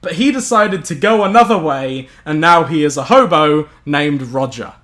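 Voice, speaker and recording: A man's voice: a little high, normal speed, fairly bright tone. A man hosting and reading a story aloud among friends, playful and mock-dramatic, slipping into casual banter.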